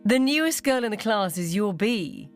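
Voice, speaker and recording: Woman acting incredulous school mum, emphatic and lively. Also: mid-range voice, moderate pace, soft background music, pitched above the performer's own voice, natural voice. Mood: puzzled, emphatic.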